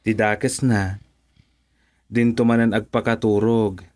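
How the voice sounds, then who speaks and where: A man's voice: fairly low pitch, flat delivery, slow pace, darkish tone. An actor with an Ilocano accent playing a patient, easygoing young father and husband in a radio drama. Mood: calm, reassuring.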